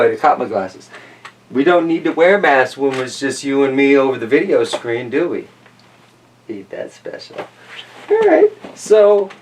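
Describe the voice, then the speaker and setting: A man hosting a casual, chatty read-aloud of picture books, playful and folksy, with rambling asides. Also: on the high side. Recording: roomy distant mic with slight noise.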